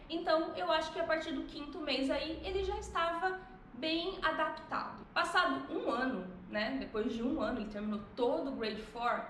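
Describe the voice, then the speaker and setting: A woman's voice: high voice, a bit bright, echoey room, slight background noise, rough recording. A Brazilian woman in her thirties, a mother telling her family's story in a casual vlog, reflective, with frequent tag questions. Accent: Brazilian Portuguese. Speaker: vlogger, mother.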